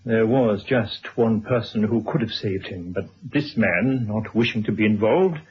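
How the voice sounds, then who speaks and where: Male actor for kindly, formal older gentleman, period radio drama. Courteous, measured, apologetic; dull, noisy vintage sound. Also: fairly low pitch, close to an everyday voice. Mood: sombre, regretful. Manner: formal, wordy, courteous, hesitant. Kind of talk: 1970s radio drama acting. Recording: dull old radio recording, clear noise.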